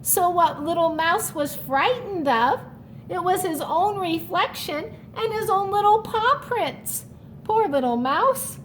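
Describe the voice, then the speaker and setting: A woman reading a children's story aloud expressively, with animated explanatory asides. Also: very high-pitched, slow pace, slight background noise, rough recording. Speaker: story reader.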